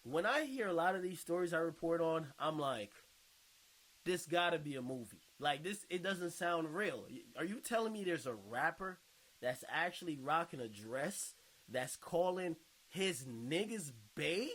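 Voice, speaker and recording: Casting: man for casual reaction videos, higher-than-average voice, very animated delivery, slangy and bluntly derisive. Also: a little slow. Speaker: video commentator reading viewer comments.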